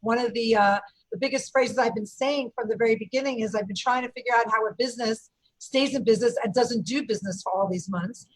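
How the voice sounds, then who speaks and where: Husky New York woman with a big voice. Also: a little high, fast talker, rough recording. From Brooklyn, New York. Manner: big, emphatic voice. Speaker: event company owner. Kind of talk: panel discussion on a video call. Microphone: video call.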